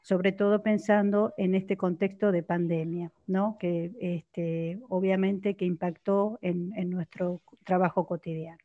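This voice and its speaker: An Argentine woman opens an online panel as organizer, speaking haltingly with many fillers. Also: fairly low pitch, level tone. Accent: Argentine Spanish. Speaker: organizer, host. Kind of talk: opening remarks at an online panel. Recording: dull lo-fi sound.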